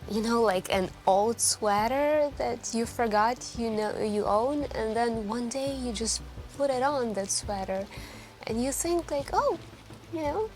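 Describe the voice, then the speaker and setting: A woman playing a wistful, lonely stranger in an intimate, soft-spoken ASMR roleplay, hesitant and confiding as she rambles. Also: fairly high pitch, animated, measured pace. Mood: shy, warm. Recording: soft background music. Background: quiet background music.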